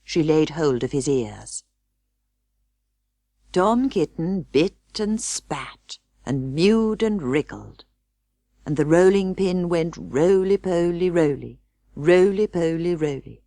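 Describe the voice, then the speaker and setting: British female audiobook narrator, children's classic. Slow, measured, expressive, very dry close recording. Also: fairly low pitch, no room sound. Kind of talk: scripted children's storybook narration.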